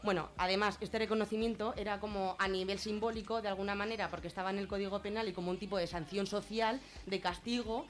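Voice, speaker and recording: A woman with a Spanish accent from Spain gives an informal explanation as a radio guest, colloquial and often halting as she thinks aloud. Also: medium pitch. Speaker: guest explaining gender-violence legislation on a radio show.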